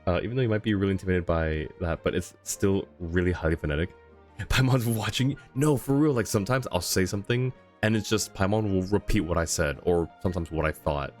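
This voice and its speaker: A man's voice: low voice, brisk. A man doing casual, chatty livestream commentary on a game, reacting and replying to his chat. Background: faint game music.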